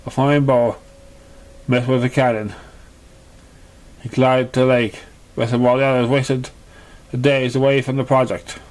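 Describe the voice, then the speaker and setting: A man narrating a fantasy fan story in an amateur read-aloud style, halting and stumbling over words. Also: medium pitch, flat delivery.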